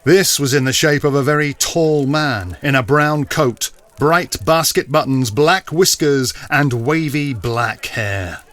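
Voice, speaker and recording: Male narrator for audio drama, somewhat high register, dramatised classic storytelling. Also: measured pace, few pauses, a bit bright.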